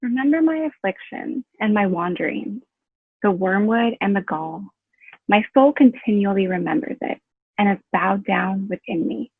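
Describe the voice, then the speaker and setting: A woman reading scripture aloud in a solemn, measured style. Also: medium pitch, animated, vocal fry, slightly rough, dry recording. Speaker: scripture reader. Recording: dry, slightly phone-like narrow band.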